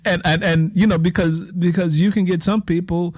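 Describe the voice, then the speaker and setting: A man's voice: sits high, fairly even intonation, moderate pace, dry recording, clean studio sound. A man interviewing as a fellow writer, explaining his thoughts in a reflective, conversational way and circling back over phrases.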